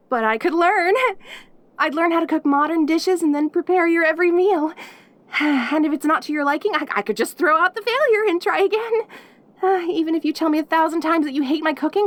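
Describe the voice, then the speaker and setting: A woman's voice: high-pitched, fairly bright tone, no room sound. A woman voicing a perky, lonely yandere demon girl in an intimate audio roleplay, eager and stammering as she dotes on the listener. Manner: eager, stammering, giggly, devoted.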